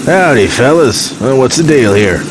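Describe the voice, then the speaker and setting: An actor playing a wry, cool-headed long-haul trucker who talks in folksy slang. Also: male voice, medium pitch, animated, normal speed, close to an everyday voice. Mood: affable bargaining. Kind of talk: naturalistic audio drama dialogue. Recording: noisy truck cab with engine. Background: vehicle engine.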